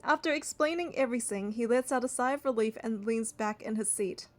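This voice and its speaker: Higher-voiced woman reading game story aloud. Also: brisk. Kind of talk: reading game narration aloud with casual asides.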